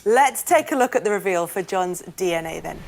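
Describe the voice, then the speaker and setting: A woman hosting a lively panel conversation and presenting results, with a teasing manner and frequent laughter. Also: lowish voice, a little fast, gravelly, background noise. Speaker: host presenting DNA results.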